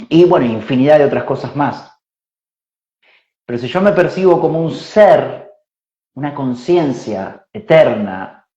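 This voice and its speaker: A man in his forties, a spiritual teacher, explains ideas slowly and reflectively, thinking aloud with fillers and tag questions. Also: mid-range voice, warm tone. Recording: roomy, dull lo-fi sound.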